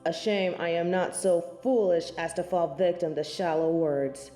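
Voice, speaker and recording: A woman's voice: fairly low pitch, steady tone, measured pace, mellow, echoey room, soft background music, close to an everyday voice. A woman playing a snobby, scornful angel in a scripted audio roleplay, speaking in formal, archaic phrases with lofty disdain. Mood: cold superiority. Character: snobby, haughty female angel of judgement, proud, scornful tsundere.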